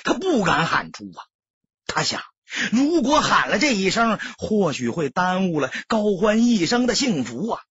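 A man's voice: high voice, big pitch swings, unhurried, fairly bright tone, breathy edge, no room sound, highs cut off. A man narrating an audiobook as scripted dramatic storytelling, solemn and emotive.

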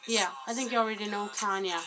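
A woman's voice: mid-range voice, steady tone, fast, over music. A woman in her twenties, originally from Albania, rambling through an unplanned vlog into a webcam with lots of fillers and restarts, poking fun at herself. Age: 20s. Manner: rambling, self-deprecating, many fillers and restarts. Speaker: guest vlogger. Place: small dim room indoors. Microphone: webcam at close range.

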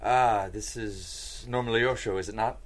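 A man's voice: on the low side, fairly even intonation, lightly acted. Man as a formal, courteous bishop. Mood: smooth, courteous. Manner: formal, measured. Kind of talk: table read of a screenplay. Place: podcast studio.

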